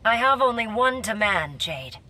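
Stern warrior princess, noisy game scene. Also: female voice, a little high, very animated, normal speed, distant mic. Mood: stern, composed. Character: proud, resolute young warrior princess, stern and regal. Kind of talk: scripted video game fight dialogue.

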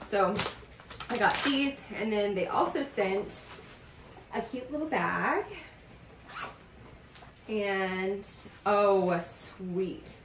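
A woman's voice: mid-range voice, extremely slow, pauses often, distant mic, slight background noise. Bubbly woman vlogging in a roomy office. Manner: bubbly and gushing, lots of fillers. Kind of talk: casual vlog chat, unboxing packages. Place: home office, indoors. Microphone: camera across a desk. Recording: roomy sound from off the mic.

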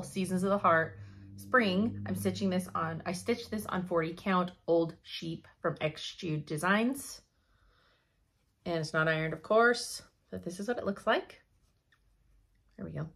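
A young woman giving a chatty cross-stitch update from her home craft room, her voice slightly hoarse, laughing often as she thinks aloud. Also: lowish voice, unhurried, pauses often, a bit bright. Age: young adult. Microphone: camera in front at desk.

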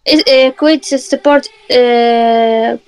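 A young woman speaks slowly on a webcam video call from her home. Her voice sits a little high, and her English is halting and simple, with short answers. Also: fairly even intonation. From Morocco. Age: 20s. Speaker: video chat guest. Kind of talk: casual video chat. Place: indoor home room.

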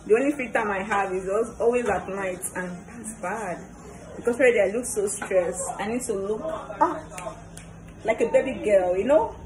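A woman's voice: mid-range voice, normal speed, crowd noise. A young woman with a Nigerian accent chatting casually to a phone in a kitchen as a cooking vlogger. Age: young adult. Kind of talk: casual vlog chat. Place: small kitchen at home, indoors. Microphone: phone at arm's length. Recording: noisy room ambience.

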